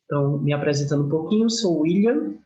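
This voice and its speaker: Higher-pitched young man with Northeastern Brazilian accent. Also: expressive, fast talker, mellow, muffled recording. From Alagoas, northeastern Brazil. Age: young adult. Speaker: guest health speaker, medical student. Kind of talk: informal educational talk to a church group.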